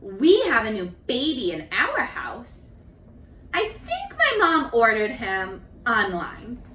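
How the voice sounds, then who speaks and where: Female read-aloud teacher for young kids: highish, very animated delivery, expressive and instructive, addressing the children directly. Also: takes it slowly, a little hiss or hum. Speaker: teacher reading a picture book aloud. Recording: echoey room, distant microphone.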